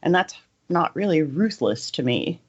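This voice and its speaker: A man's voice: a little high, sing-song, normal speed, breathy edge. A man hosting a podcast, speaking conversationally or reading aloud. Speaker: podcast host. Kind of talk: casual discussion, some read-out synopsis. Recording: muffled, dull audio.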